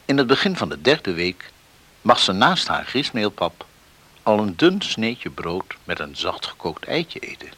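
An actor reading scripted dramatic narration as the solemn storyteller of a radio serial. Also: male voice, fairly low pitch, big pitch swings, measured pace, sharp tone, husky, exaggerated voice.

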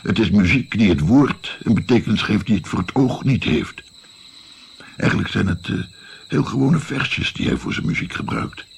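Deep, gravelly old storyteller musician. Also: male voice, normal speed, a little hiss or hum. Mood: musing. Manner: musing, hesitant, expressive. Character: older musician looking back, reflective, self-mocking narrator. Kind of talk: Dutch radio drama, storytelling in dialogue.

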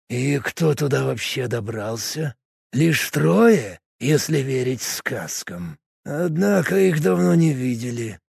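A male narrator voicing a fanatical male cult adept who preaches in a slow, hushed, creaky murmur. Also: dry recording, studio quality, full character voice. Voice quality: low, creaky, husky murmur. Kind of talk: audiobook character voice.

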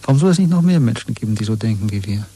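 A husky, soft-edged male voice as a disillusioned rebel in a 1970s radio drama. He speaks with brooding, earnest intensity, like someone confiding forbidden thoughts. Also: on the low side, expressive, very fast. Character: disillusioned, brooding rebel man in a dystopian state. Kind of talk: 1970s German radio play acting.

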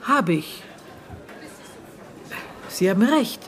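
An actress playing a prickly, guarded aunt questioned as a witness in a radio drama, hesitant in recollection and curt in reply. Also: female voice, mid-range voice, lively intonation, extremely slow. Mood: guarded, recollecting.

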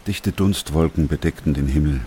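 A man's voice: very deep voice, normal speed, dark tone, breathy edge, exaggerated voice. An actor playing a sober, composed male doctor who also narrates a literary radio drama. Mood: sombre.

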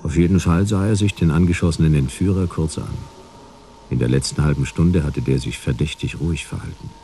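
Very low, gravelly hardboiled detective. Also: male voice, fairly quick, mellow, slight background noise. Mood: terse, tense. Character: laconic, world-weary hardboiled male private detective, dry and sardonic. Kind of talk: radio play acting and first-person noir narration.

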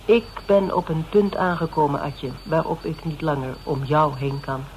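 An actor playing a persistent, polite private detective in a Dutch radio drama, speaking in a measured, explanatory, quietly probing way. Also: male voice, a little high, natural voice. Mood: measured, insistent. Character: persistent male private detective questioning a suspect, methodical and polite. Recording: noisy old radio drama recording.

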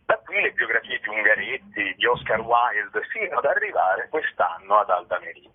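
Male interview guest, raspy voice, rhetorical and explanatory, heard over a narrow phone connection. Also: lowish voice, expressive, moderate pace. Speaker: playwright and director, interview guest. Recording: tinny, narrow telephone line.

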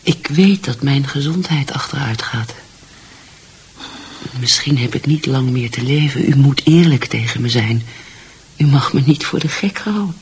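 A woman's voice: a bass voice, animated, measured pace, darkish tone, husky, full character voice. An actress in a radio drama playing a distraught, lovesick married mother, pleading and often near whispering.

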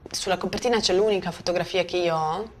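Lowish-voiced woman, halting testimony. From Bosnia. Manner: halting, reflective. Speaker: author, survivor giving testimony. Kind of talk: spontaneous personal testimony. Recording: faint ambient noise. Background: faint ambience.

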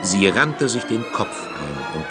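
A man's voice: sits low, big pitch swings, slow pace. A man reading literary prose in a dramatic style. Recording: clear music under the voice. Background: music.

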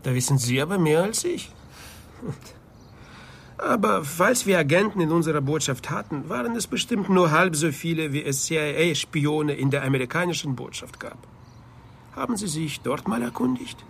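An actor playing an evasive, dismissive embassy diplomat in a German radio drama, ironic and coolly formal. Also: male voice, on the high side, vocal fry, a little hiss or hum.

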